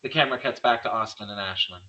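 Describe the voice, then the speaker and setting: A man's voice: lowish voice, fast, pushed and bright, lo-fi sound. A man narrating stage directions from a script at a table read, reading plainly and occasionally stumbling over words.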